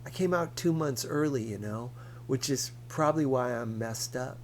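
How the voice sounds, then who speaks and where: Man reading as a gentle, coaxing teenage girl, faint noise. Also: mid-range voice, moderate pace, natural voice. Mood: coaxing, self-deprecating. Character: teenage girl, gentle, self-deprecating big sister type. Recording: faintly noisy recording with hum.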